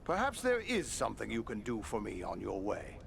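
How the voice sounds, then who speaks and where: Grave fantasy ranger captain. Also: male voice, on the low side, wildly swooping pitch, creaky, background noise, exaggerated voice. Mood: calm concern. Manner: formal, measured, archaic phrasing. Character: grave, dutiful male ranger captain, concerned for his men. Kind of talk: fantasy video game acting.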